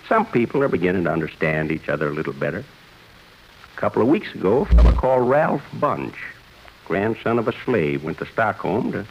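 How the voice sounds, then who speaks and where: A low, gravelly old man, gentle and reflective, bidding the world farewell as the old year. He talks in a folksy, fatherly way with a lively rise and fall. It sounds like a dull 1950s radio broadcast with faint music underneath. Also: slight background noise, exaggerated voice. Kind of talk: 1950s radio drama acting.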